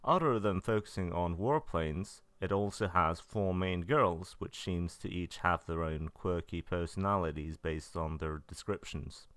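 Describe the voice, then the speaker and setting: A man's voice: low voice, unhurried, few pauses, fairly bright tone, smooth, no echo. A man narrating a review roundup from loose notes, in a matter-of-fact and blunt way. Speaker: video narrator reviewing visual novels. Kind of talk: semi-scripted review narration.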